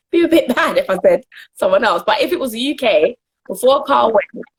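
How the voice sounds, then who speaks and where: Husky-voiced young woman, earnest and giggly. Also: fairly high pitch, animated, moderate pace. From Jamaica. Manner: earnest and giggly, trails off and restarts. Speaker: guest. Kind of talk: casual interview answers.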